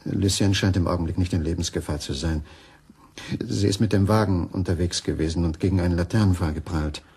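Low, gravelly, troubled husband. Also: male voice, fairly quick, a little mellow, full character voice. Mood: shaken, subdued. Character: troubled, brooding husband in a crime mystery. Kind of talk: German radio crime drama acting.

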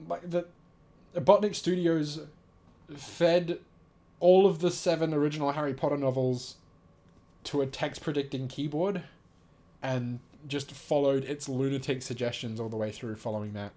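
A man's voice: on the high side, fairly even intonation, normal speed, warm tone. Amused, sweary man reacting casually. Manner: amused, sweary, mock-serious. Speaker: host. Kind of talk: casual reading aloud and reacting.

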